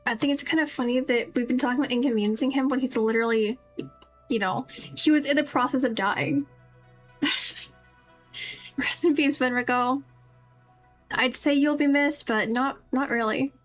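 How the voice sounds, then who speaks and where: A woman's voice: sits high, moderate pace, long pauses. A woman streaming a game, giving casual, chatty, playfully mocking commentary as she plays. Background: game music.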